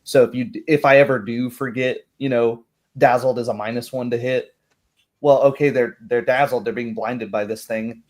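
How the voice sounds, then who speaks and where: A man's voice: medium pitch, fairly even intonation, normal speed, darkish tone. A man talking casually as a game master after a session, thinking aloud in a rambling way. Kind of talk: casual post-game discussion.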